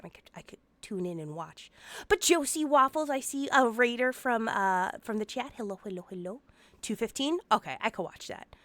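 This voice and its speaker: A woman's voice: fairly high pitch, a bit bright, no room sound. A woman streaming a game and chatting casually with her viewers, sounding nasal and sniffly from congestion. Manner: bubbly, playful, chatty with viewers.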